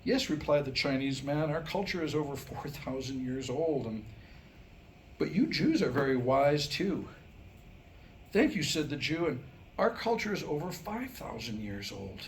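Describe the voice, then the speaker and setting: A man reading a scripted comic monologue at a live staged reading, with a wry, mock-scholarly delivery and deliberate phrasing. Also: on the high side, very animated. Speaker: writer presenting his new humorous script. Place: live staged reading before a small audience. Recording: clearly noisy live room recording.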